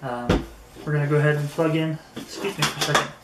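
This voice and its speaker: A man giving a casual, unscripted product demonstration, thinking aloud and haltingly as he handles things. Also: a little high, steady tone. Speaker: product reviewer. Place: indoor room. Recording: echoey room, faint background noise.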